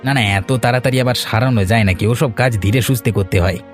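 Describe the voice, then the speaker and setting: A man voicing a young village fish seller in a cartoon, talking in quick, resigned chatter. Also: mid-range voice, faint music behind, lightly acted. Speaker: cartoon character.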